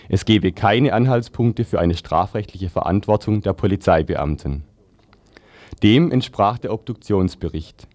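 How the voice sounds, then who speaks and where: A man's voice: lowish voice, a little mellow, dry recording. A man reading scripted radio news in standard speech.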